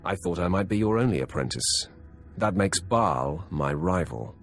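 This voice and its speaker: Game Sith apprentice: extremely low, rough creak, blunt and mocking, few words, brutish. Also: male voice, big pitch swings, normal speed, soft background music. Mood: sardonic. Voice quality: gravelly. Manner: terse, sardonic. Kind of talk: video game hero acting.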